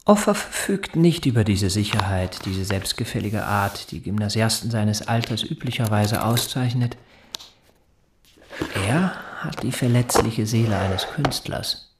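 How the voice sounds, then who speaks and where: An actor playing a self-important, intense private tutor in a radio drama, with precise, condescending, literary phrasing. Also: male voice, lowish voice, expressive, measured pace, noisy background. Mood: smug, earnest. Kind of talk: German radio drama acting, dialogue and inner monologue.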